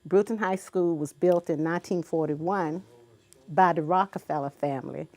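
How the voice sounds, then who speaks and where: A woman in her seventies from Virginia, a guest in an interview, speaking in an unhurried, reflective way with many ums and uhs. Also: fairly low pitch, darkish tone. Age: 70s. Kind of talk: recorded interview.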